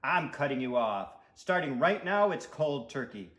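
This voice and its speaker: A man's voice: extremely high pitch, very animated, measured pace, vocal fry, roomy sound, voice pushed up, full character voice. A man voicing an exasperated young boy in a children's storybook, protesting emphatically. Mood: determined, exasperated.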